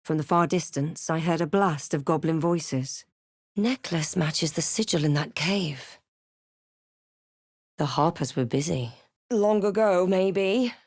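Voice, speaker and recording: A guarded fantasy game heroine, with a lowish female voice and a close, dry sound. She delivers her scripted lines in a measured, understated way with a sardonic edge. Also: darkish tone, no room sound, heavily acted. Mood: dry, wry. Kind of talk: scripted game voice lines, part first-person narration.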